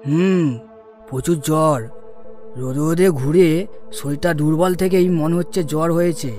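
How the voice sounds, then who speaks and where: Guy putting on a soothing village doctor voice, pitched up, cartoon story, faint music behind. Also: steady tone, moderate pace, a little mellow, lightly acted. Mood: reassuring. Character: village doctor, middle-aged man, calm and reassuring. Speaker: voice actor in an animated story. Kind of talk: cartoon voice acting.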